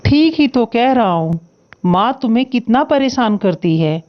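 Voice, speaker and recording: Casting: woman as caring, stubborn male crow husband, cartoon, fairly high voice, slightly dull recording. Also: lively intonation, normal speed, lightly acted. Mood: earnest complaint. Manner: earnest, protective, insistent. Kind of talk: Hindi moral-story cartoon acting.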